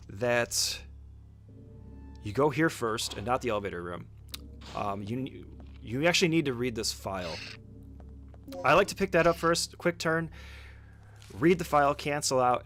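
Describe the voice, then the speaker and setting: A man's voice: medium pitch, fairly bright tone, slight background noise. A man giving a casual, step-by-step speedrun tutorial as commentary, speaking informally and giving short instructions.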